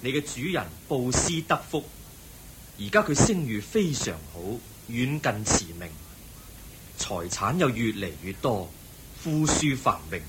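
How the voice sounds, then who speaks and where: An actor with a Hong Kong Cantonese accent voicing a calm, patient Buddha who gently teaches. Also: male voice, mid-range voice, a little slow, very noisy. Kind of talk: Cantonese radio drama acting.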